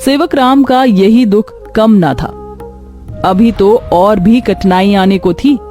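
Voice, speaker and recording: Mellow female story narrator. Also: mid-range voice, takes it slowly, soft background music. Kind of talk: scripted cartoon story narration.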